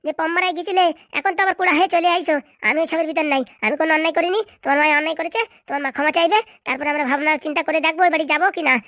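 A woman's voice: extremely high pitch, fairly even intonation, racing, a bit bright, dry recording, clean studio sound. A woman playing an aggrieved, stubborn young wife, argumentative and emphatic, in a comic cartoon. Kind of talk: comic cartoon drama dialogue.

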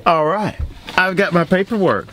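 A man's voice: expressive, normal speed, sharp tone. A man in his forties chatting casually to camera as a trucker, upbeat and conversational, recorded at arm's length inside a truck cab. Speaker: trucker and vlog host. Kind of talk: casual vlog chat to camera. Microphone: handheld camera at arm's length. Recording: vehicle rumble and ambient noise.

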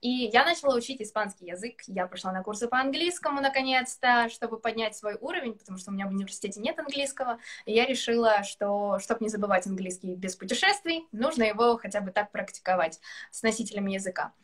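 A woman in her twenties chatting casually on a video call from a room at home, laughing often and talking with animated gestures. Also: a little high, fast. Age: 20s. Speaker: guest singer. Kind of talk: casual live-stream chat. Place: indoor room with curtains.

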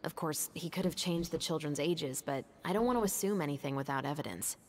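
An actress playing a calm, methodical detective captain who speaks in a measured, serious way. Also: female voice, low voice, a little hiss or hum. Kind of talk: video game voice acting.

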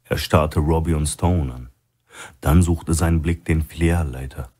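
A male audiobook narrator reads a crime thriller. His voice sits very low and has a husky, rough-edged grain. The delivery is scripted storytelling. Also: fairly even intonation, measured pace, darkish tone.

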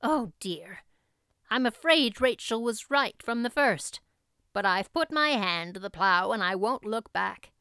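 A woman's voice: mid-range voice, expressive, measured pace, bright, forceful tone, clean tone, no room sound, clean studio sound. A woman voicing a strict, exasperated female guardian in a storybook, curt, firm and indignant.

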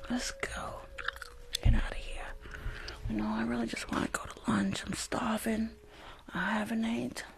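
Woman playing impatient female school nurse, New York accent, ASMR roleplay, whispery, rather high, brusque and repetitive. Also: flat delivery, a little slow, creaky, pauses often. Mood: irritated. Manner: brusque, repetitive, mock-soothing, complaining. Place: small bedroom. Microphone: close furry-covered condenser microphone.

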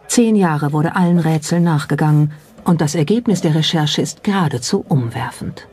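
A woman's voice: sits low, normal speed, mellow, slightly rough. A woman reading scripted documentary narration with a dramatic, rhetorical delivery. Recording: music bed under the voice. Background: background music.

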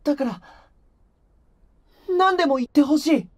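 A man playing a gentle, shy, earnest teenage boy idol in an anime-style voice drama, speaking softly and hesitantly. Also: extremely high pitch, animated, unhurried. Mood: earnest, pleading. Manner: soft, hesitant, sincere delivery.

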